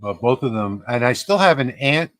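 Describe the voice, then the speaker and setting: A man's voice: medium pitch, animated, normal speed, fairly bright tone, dry recording. A man in his fifties hosting a casual livestream, talking in a rambling way with fillers and restarts. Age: 50s. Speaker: livestream host.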